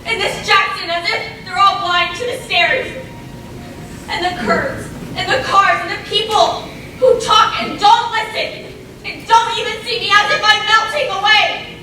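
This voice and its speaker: In a very echoey, noisy hall, a teenage girl speaks in a very high voice acted by a woman. She is earnest and emphatic, pleading and defiant in a stage drama. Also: fairly even intonation, a little slow, pushed and bright, recorded from a distance, heavily acted. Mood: anguished, resolute. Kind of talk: stage play acting.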